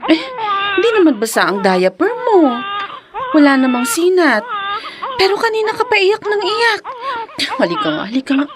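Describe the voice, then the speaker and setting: A woman's voice: extremely high pitch, very animated, normal speed, pauses often, very noisy. A Filipino woman acting as a tender, anxious young mother in a radio drama, cooing and meek. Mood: tender, soothing. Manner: soft cooing, meek and worried.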